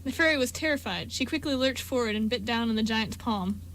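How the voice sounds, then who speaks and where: A woman's voice: fairly high pitch, fairly quick, fairly bright tone, a little hiss or hum, low-quality recording. A woman reading scripted story narration for a radio play.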